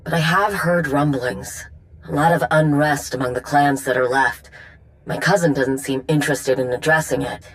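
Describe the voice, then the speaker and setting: A woman's voice: sits low, lightly acted, poor audio. A woman voicing a proud, practical, guarded warrior mechanic in a video game cutscene. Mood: matter-of-fact.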